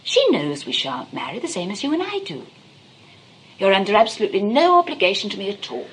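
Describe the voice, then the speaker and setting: An actress playing a frank, unsentimental young woman in a 1920s comedy of manners, brisk and dismissive. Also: mid-range voice, very animated. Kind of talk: 1920s English comedy of manners stage acting. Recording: clearly noisy ambient background.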